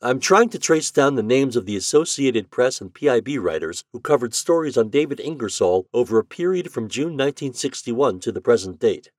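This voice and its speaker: A man's voice: medium pitch, big pitch swings, a little fast, hardly any pauses, a little mellow, no echo, clean studio sound, pitched above the performer's own voice. A man reading a story, voicing a curt, impatient male investigator.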